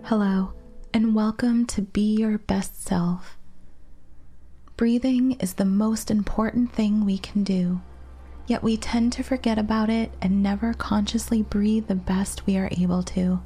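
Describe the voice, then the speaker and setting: A woman guides a relaxation meditation with a mellow, breathy voice. She speaks gently and soothingly, leaving long pauses between phrases. Music plays behind her. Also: medium pitch, fairly even intonation. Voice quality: soft, breathy.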